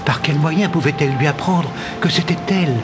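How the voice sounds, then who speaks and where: An actor narrating an animated fairy tale as a solemn storyteller with a dramatic delivery. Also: male voice, fairly high pitch, fairly quick, lightly acted. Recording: loud music under narration.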